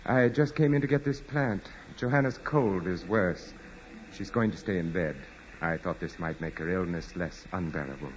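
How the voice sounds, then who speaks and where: An actor playing a proud, imperious aristocratic landowner with formal, measured speech in a 1940s radio drama. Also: male voice, low-pitched, slight background noise, close to an everyday voice. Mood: controlled, brooding. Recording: muffled 1940s radio recording with music.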